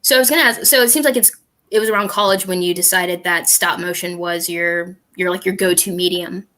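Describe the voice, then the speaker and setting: A woman's voice: fairly low pitch, steady tone, fairly quick, a bit bright. A young woman hosting a livestream over a video call, asking interview questions in an easygoing, friendly way. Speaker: livestream host. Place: small room at home.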